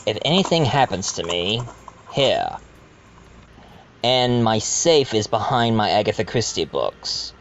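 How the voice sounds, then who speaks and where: A man's voice: lowish voice, very animated, unhurried, a bit bright, highs cut off, a little hiss or hum, exaggerated voice. A man playing a wealthy doctor who muses theatrically as a murder victim in a fan audio drama. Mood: musing.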